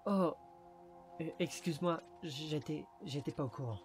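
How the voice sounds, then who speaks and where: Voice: mid-range voice, expressive, fairly quick, lightly acted. A performer voicing a small talking creature, a cocky amnesiac detective with a sarcastic, dramatic, wisecracking manner, in a French film fan dub. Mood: apologetic. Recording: music behind the voice.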